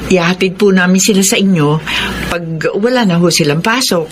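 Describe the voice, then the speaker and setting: A woman's voice: on the low side, moderate pace, lightly acted. A Filipino actress playing a strict, worried middle-aged mother in a radio drama. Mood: respectful. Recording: noisy radio drama recording.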